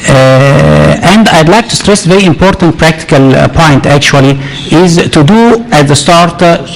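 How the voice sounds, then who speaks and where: A man speaking on a medical conference panel, halting and full of fillers, repeating words to stress a point. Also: on the high side, sing-song, slight background noise, poor audio. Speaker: urologist panelist.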